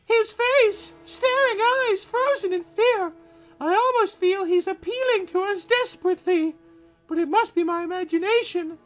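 A man's voice: very high voice, normal speed, fairly bright tone, faint music behind, pitched above the performer's own voice. A man voicing a distraught, melodramatic young wife in a horror comic reading. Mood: fearful.

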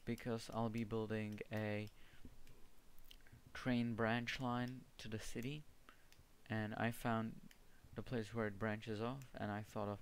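A man's voice: fairly low pitch, little pitch movement, slow pace, slight background noise. A young man from the Czech Republic with a strong Czech accent, casually commenting on his gameplay and often stopping to search for words. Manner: hesitant, restarts words, searches for terms. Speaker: gaming video creator. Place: home setup with gaming PC.

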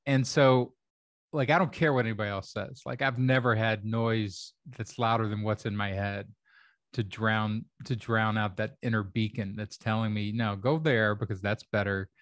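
Man, middle-aged, from Kentucky, podcast host, wry, lowish voice, dry close sound. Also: fairly even intonation, moderate pace, a bit bright, no echo. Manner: wry, musing, joking asides. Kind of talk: casual podcast chat. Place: home room. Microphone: desk microphone on arm.

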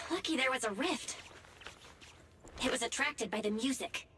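A woman voicing an expressive, curious young heroine in a video game, with an animated, questioning manner. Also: high-pitched, a little slow, noisy background. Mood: concerned. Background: game music.

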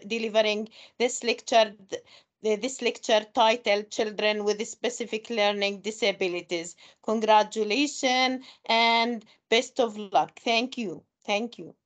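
A woman hosts an online webinar over a meeting call. Her voice sits slightly high and moves slowly and deliberately. She sounds formal and courteous as she addresses the attendees. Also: steady tone. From Palestine. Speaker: webinar host.

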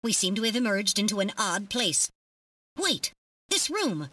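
A woman's voice: medium pitch, big pitch swings, measured pace, bright, forceful tone, recorded from a distance. A woman playing a small, polite robot navigator in an adventure game, earnest and formal, with precise phrasing. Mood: analytical, calm. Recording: echoey hall-like reverb, music behind.

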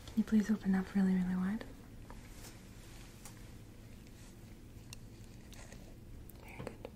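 A woman playing a gentle, attentive dental hygienist in a soft-spoken ASMR roleplay, breathy and whispery, unhurried and reassuring. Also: mid-range voice, little pitch movement, slight background noise, close to an everyday voice. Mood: gentle, focused.

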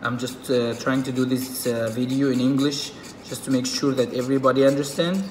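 Egyptian man, halting, in an echoey room. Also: mid-range voice, steady tone, a little mellow, slight background noise. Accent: Egyptian Arabic. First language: Arabic. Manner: halting, with frequent fillers and restarts. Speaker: reptile keeper. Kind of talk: casual how-to demonstration. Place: indoors at a table.

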